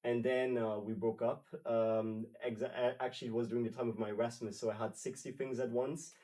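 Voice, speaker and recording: A man's voice: medium pitch, level tone, brisk, dark tone. Man speaking from a distance, rambling. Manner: rambling, self-correcting storyteller. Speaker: trainee. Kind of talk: casual roundtable chat.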